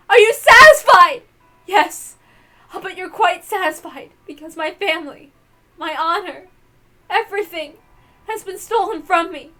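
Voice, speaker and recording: A woman voicing a proud, guilt-ridden mother confessing murder in a dramatic, emotional visual novel read-aloud. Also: extremely high pitch. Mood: bitter, impassioned. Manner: theatrical, emotional, swinging from hushed to impassioned.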